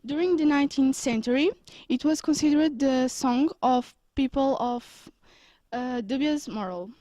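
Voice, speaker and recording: Young woman from portugal, mellow higher voice, steady reading, dry sound. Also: unhurried, no room sound. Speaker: student interviewee. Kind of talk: reading prepared answers.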